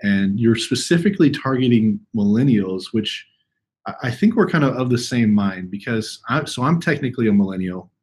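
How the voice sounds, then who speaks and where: A man in his thirties with a husky voice hosting a conversational podcast interview, making his points emphatically and restating them. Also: mid-range voice, expressive, normal speed, a little mellow. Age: 30s. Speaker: podcast host.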